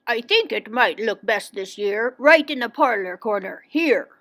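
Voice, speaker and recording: A woman voicing an excitable, pompous wealthy gentleman in a children's storybook, full of theatrical exclamation. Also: on the high side, unhurried. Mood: eager.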